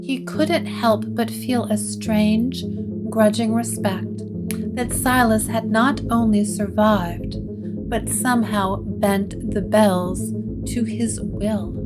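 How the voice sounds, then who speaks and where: A woman's voice: medium pitch, fairly even intonation, slow. A woman narrator reading a scripted gothic story. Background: guitar music.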